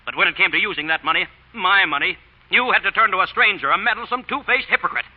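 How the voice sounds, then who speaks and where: An American actor playing a sarcastic, scheming moneylender who blusters in old-time radio drama style. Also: male voice, high voice, quick pace. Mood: indignant. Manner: sharp, sarcastic, indignant or slick. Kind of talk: 1930s-40s radio drama acting. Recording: dull old radio recording.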